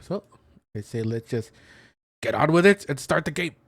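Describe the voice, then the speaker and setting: A man's voice: on the high side, animated, moderate pace, vocal fry, no room sound. A man doing casual let's play commentary, explaining things in a hesitant, rambling way into a close mic. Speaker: gaming content creator.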